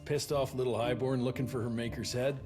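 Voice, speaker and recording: An actor playing a blunt, impatient monster-hunting agent who speaks gruffly in a video game. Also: male voice, mid-range voice, steady tone, fairly quick, a little mellow, lightly acted. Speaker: field agent. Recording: game cutscene with music score.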